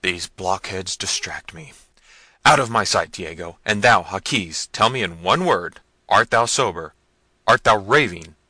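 Guy doing a bossy short-fused prince from an old gothic tale, forceful and loud, snaps orders. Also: deep voice, animated, a little slow, dry recording, exaggerated voice. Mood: exasperated, angry. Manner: imperious, barking questions and orders. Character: hot-tempered commanding prince, impatient with his servants. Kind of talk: dramatised gothic novel reading.

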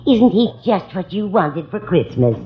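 An actress playing a doting, excitable mother in an old-time radio comedy sketch. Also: mid-range voice, measured pace. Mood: doting, chiding. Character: doting, excitable mother cooing over her baby son. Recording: noisy muffled old radio, audience behind.

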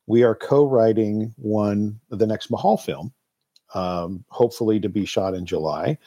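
A man giving a casual interview as a film producer and guest, speaking in a halting, thoughtful way. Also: fairly low pitch, warm tone. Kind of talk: casual talk-show interview.

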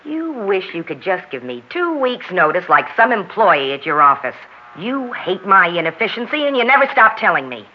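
Embittered, argumentative wife, played by an actress, sarcastic and animated, vintage radio sound. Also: female voice, mid-range voice, normal speed, slight background noise, close to an everyday voice. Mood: bitter. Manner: sharp, reproachful, sarcastic. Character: bitter, accusing, argumentative unhappy wife. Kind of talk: 1960s radio drama acting. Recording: dull 1960s radio recording.

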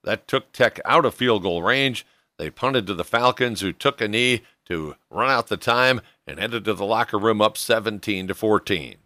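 A man's voice: fairly low pitch, lively intonation, normal speed, sharp tone, no room sound. A man hosting a sports show, narrating a scripted recap of a football game.

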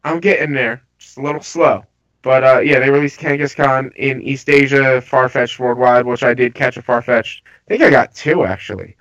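A man with a stuffy, under-the-weather voice, chatting casually about games with halting restarts. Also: mid-range voice, steady tone, fairly bright tone. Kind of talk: casual gaming chat. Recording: slightly dull lo-fi audio.

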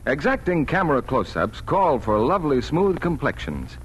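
A man's voice: a little high, very animated, normal speed, poor audio. A man announcing scripted 1940s radio advertising in a polished, persuasive manner. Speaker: commercial announcer. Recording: old radio recording, faint noise.

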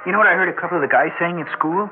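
A man's voice: high voice, lightly acted. A man playing a bashful, earnest teenage schoolboy with a hesitant manner in a 1960s radio drama. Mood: bashful, awkward. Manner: hesitant, halting. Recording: tinny 1960s radio recording, music behind.

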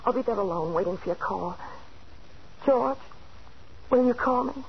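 An actress playing a lonely, desperate unfaithful wife in a halting, pleading way, in a 1940s radio thriller. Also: female voice, mid-range voice, creaky, lightly acted. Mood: pleading, lost. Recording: muffled, noisy 1940s radio recording.

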